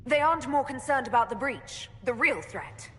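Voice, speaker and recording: A woman's voice: high-pitched, animated, normal speed. A woman playing a sardonic elven mage and reluctant hero in a fantasy game, wry and questioning. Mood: skeptical. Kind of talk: dramatic fantasy game acting. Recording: reverberant hall echo.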